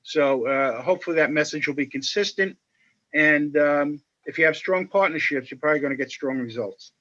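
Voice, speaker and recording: Guy on a zoom panel, bright pushy voice, kinda higher, muffled audio. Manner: measured, filler-heavy explaining. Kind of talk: panel discussion on video call. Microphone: video call. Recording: muffled video call audio.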